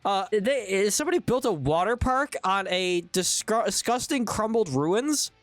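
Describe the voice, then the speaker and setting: Excitable man narrating his gameplay. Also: sits high, moderate pace. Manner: rambling, joking, excitable, talks to viewers. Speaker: gaming video host. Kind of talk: casual let's-play commentary.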